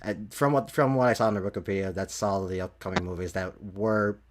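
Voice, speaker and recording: Male podcast host, lowish voice, informal, halting delivery, unscripted chat. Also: fairly even intonation, slight background noise. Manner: hesitant, trailing off, swears casually. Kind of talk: casual podcast chat, reading from a list.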